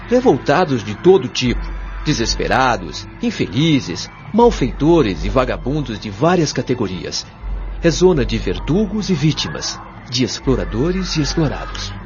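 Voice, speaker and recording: A male actor plays a patient Brazilian spirit guide in a radio-style drama, teaching with solemn authority. His mid-pitched delivery moves expressively and every phrase is carefully articulated. The sound is slightly dull and lo-fi, with music underneath. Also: close to an everyday voice. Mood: grave, explanatory. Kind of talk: audio drama acting, expository dialogue. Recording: dull lo-fi audio over music.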